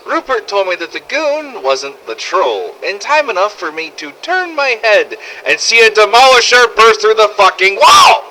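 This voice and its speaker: Man playing a sardonic zombie survivor, animated and bright. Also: sits high, moderate pace, few pauses, a little hiss or hum, voice pushed up, exaggerated voice, rough recording. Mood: exasperated. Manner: sardonic, exasperated, swearing. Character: weary, sarcastic male survivor of a zombie outbreak. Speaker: narrator of fan-made game audio logs. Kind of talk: first-person scripted audio log narration.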